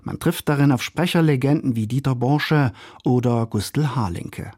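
A man's voice: medium pitch, moderate pace, a little mellow, dry recording. Man reading a scripted review, dry studio sound. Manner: polished, ornate phrasing. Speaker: radio culture critic.

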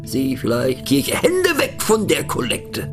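A man playing a homeless street-paper seller who makes a loud, formal, rehearsed plea to train passengers. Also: mid-range voice, huge pitch swings, slow pace, soft background music, voice pushed up, full character voice. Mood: formal pleading.

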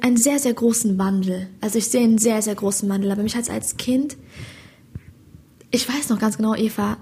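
A young woman comedian with a Hessian accent talks in a slangy, animated way, often checking in with a quick "you know?". Her voice sits fairly high and has a husky, breathy edge. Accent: Hessian German. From Hesse, Germany. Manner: animated, slangy, keeps asking "weißt du?". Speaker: stand-up comedian, guest. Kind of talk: casual interview chat.

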